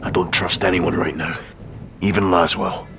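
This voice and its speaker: An actor playing an irreverent special forces sergeant in a video game, with a Scottish accent and a blunt, sardonic manner. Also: male voice, low-pitched, steady tone, normal speed, vocal fry, pushed and bright, a little hiss or hum, exaggerated voice. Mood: wary. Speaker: soldier character.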